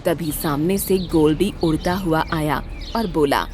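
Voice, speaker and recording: A woman narrating a scripted children's story as an expressive storyteller. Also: low voice, measured pace.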